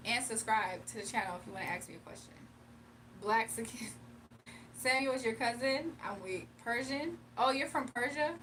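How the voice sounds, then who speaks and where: A young woman with a fairly high voice chats loosely with her live viewers and laughs easily. She sits a little away from the mic, and faint room noise runs under her voice. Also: moderate pace, a bit bright, far from the mic, poor audio. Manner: chatty, laughs often, reads chat aloud. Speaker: livestream tarot reader. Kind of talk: casual live chat and tarot reading.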